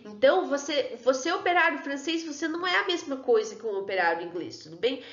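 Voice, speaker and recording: High-voiced woman teaching history. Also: animated, fast talker, fairly bright tone. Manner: chatty, keeps checking in with "tudo bem?" and "né, pessoal?". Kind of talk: online history lesson. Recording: echoey room, dull lo-fi sound.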